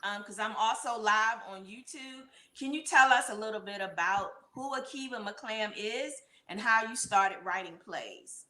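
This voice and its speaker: A woman's voice: mid-range voice, moderate pace, hardly any pauses, sharp tone. An exuberant woman hosting and interviewing on a live show, often repeating phrases for emphasis. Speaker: host of a live web show. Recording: roomy echo.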